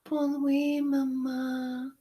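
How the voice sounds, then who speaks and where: A woman's voice: high voice, monotone, very slow, dark tone, pitched above the performer's own voice. A woman voicing a hungry, needy, affectionate newborn duckling in a children's story. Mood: sleepy affection. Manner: needy, affectionate baby talk. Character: hungry newborn duckling speaking French greetings.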